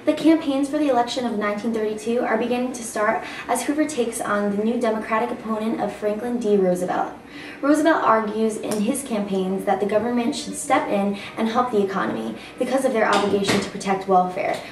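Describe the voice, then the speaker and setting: A woman's voice: medium pitch, a little fast. Female anchor, scripted amateur newscast, strong room echo and faint noise. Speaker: news anchor. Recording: echoey room, distant mic, faint noise.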